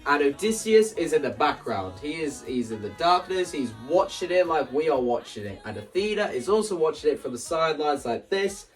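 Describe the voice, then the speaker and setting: A man's voice: a little high, very animated, moderate pace, hardly any pauses. Man explaining over music, slightly distant mic. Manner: rambling, acts out scenes and quotes lines as they go. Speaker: content creator. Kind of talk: animated explanatory vlog about staging a musical.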